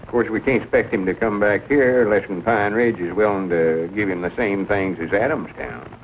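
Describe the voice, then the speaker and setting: Man plays earnest country storekeeper, older male, halting rural Southern drawl, lowish voice, scratchy narrow-band 1940 film sound. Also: lightly acted. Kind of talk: 1940s film comedy acting.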